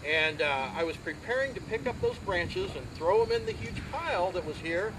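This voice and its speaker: A loud, animated man in his fifties stands outdoors giving a volunteer's speech at a garden ceremony. His voice sits high for a man. Traffic and street sounds run clearly behind him. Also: normal speed. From Ohio. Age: 50s. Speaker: community volunteer. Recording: outdoor recording with traffic noise.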